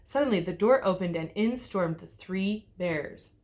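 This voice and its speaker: A woman reading a children's storybook aloud as a teacher would, with expressive storytelling. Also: mid-range voice, a little slow. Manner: expressive, clearly paced storytelling. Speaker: teacher reading to second graders. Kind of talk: storybook read-aloud narration.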